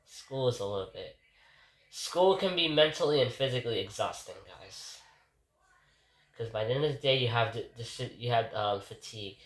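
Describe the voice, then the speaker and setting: A congested man rambles through a casual home vlog, forever turning to address his viewers. His voice is bright and forward. He sits away from the mic in an echoey kitchen. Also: medium pitch, animated, normal speed. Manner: rambling, keeps calling out to "guys". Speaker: vlogger giving a daily reflection. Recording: roomy, distant kitchen recording.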